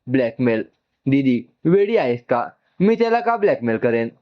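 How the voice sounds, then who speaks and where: A man's voice: medium pitch, big pitch swings, normal speed, lo-fi sound, lightly acted. A man voicing a defiant, exasperated young woman with firm, curt retorts, in a narrated family story. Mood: defiant irritated.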